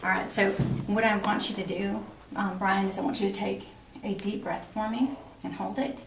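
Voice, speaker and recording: Woman, middle-aged, creaky voice, instructional clinical walkthrough, distant camera mic. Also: medium pitch, flat delivery, normal speed. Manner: instructional, explaining each step aloud. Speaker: nursing instructor demonstrating a physical exam. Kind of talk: clinical demonstration explained to a patient. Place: small block-walled exam room. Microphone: camera a few feet away. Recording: roomy, distant camera mic, faint noise.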